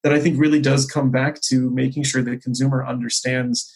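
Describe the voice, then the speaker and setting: Husky, gravelly man talking business. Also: medium pitch, level tone, fairly quick, darkish tone. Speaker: podcast guest, marketing executive. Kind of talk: conversational business discussion.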